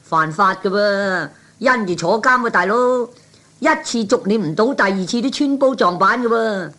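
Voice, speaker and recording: A man voicing an excitable, nosy hanger-on with a Cantonese accent in a 1960s comic radio play, chatty and full of exclamations. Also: high voice, moderate pace. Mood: suspicious.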